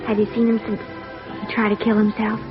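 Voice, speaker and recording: A gentle young woman of fifties radio noir, played by an actress, hesitant and worried. She speaks softly at a medium pitch. The vintage recording is dull, with clear music behind her. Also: monotone, fairly quick, natural voice. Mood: anxious, polite. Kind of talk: 1950s radio drama acting.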